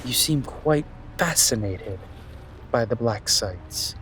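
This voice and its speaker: A man playing a haughty, calculating young prince in a fantasy audio drama, speaking in a pompous, deliberate, menacing way. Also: mid-range voice, animated, a bit bright, faint music behind. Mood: quiet menace. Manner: pompous, deliberate, drawn-out delivery. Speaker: voice actor.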